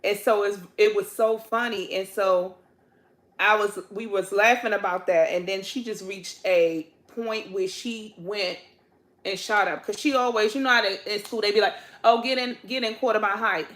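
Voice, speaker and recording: A woman's voice: medium pitch, steady tone, moderate pace, a bit bright. Laughing DC woman telling stories. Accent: Washington, D.C. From Washington, D.C. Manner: playful, laughing storyteller, loose casual grammar. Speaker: vlog co-host, a twin. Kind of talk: casual chat on a couch.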